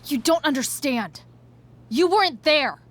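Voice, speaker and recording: A woman playing a rebellious, uneasy teenage girl in a naturalistic video game drama, blunt and halting in her delivery. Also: high voice, expressive. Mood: defensive, exasperated.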